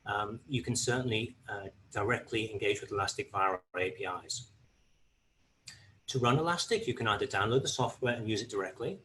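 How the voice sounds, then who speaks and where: A man gives a steady, explanatory webinar overview of a software product in a lowish voice. Faint noise sits behind him. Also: a little fast. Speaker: webinar presenter.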